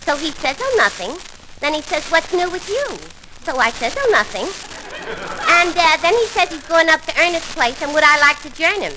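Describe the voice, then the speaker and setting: Dim young working-class New York woman, shrill-high, chatty, 1940s radio comedy, clearly noisy recording. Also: big pitch swings, normal speed. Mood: rambling, clueless. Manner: rambling, literal-minded, ungrammatical.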